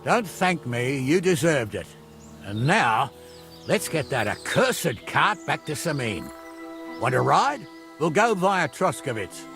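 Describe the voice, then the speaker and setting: Casting: older medieval commander, gravel-voiced, gruff yet kind, animated delivery, for a game. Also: male voice, fairly high pitch, slow, soft background music, heavily acted. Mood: gruffly warm. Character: gruff older male medieval captain, hearty and begrudgingly kind. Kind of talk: video game drama acting.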